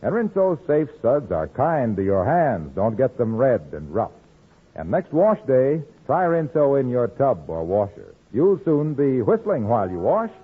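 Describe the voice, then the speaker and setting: Vintage male commercial announcer, hugely lively intonation, husky edge, muffled broadcast. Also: mid-range voice, unhurried. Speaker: radio announcer. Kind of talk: 1940s radio commercial announcing. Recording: muffled vintage radio, faint music.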